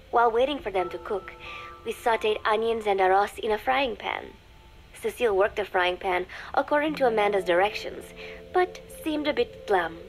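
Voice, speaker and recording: A woman voicing a young undercover spy in a video game, dictating a private diary in a measured, confiding, dryly scornful way. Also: mid-range voice, natural voice, rough recording. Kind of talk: scripted dramatic diary narration for a game.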